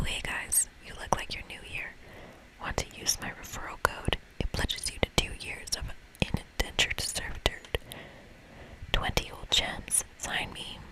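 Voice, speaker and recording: A woman reading a video game aloud in a breathy ASMR whisper, playful and expressive, with casual asides. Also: rough recording. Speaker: ASMR gamer reading a game's dialogue aloud. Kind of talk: whispered ASMR let's play.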